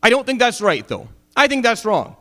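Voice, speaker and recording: A middle-aged man from Syria, giving a Friday sermon as an imam, impassioned at times and dropping low and wry at others. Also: huge pitch swings, moderate pace, pushed and bright. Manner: impassioned, rising to emphatic peaks then dropping low and wry.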